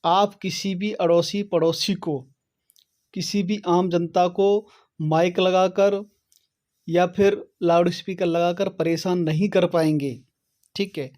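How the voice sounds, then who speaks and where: A man's voice: high-pitched, steady tone, slow, darkish tone. A man hosting an online how-to channel, explaining things step by step to viewers and often adding a short check that they follow. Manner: step-by-step, repeatedly checking with "okay". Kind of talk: explanatory tutorial talk to viewers.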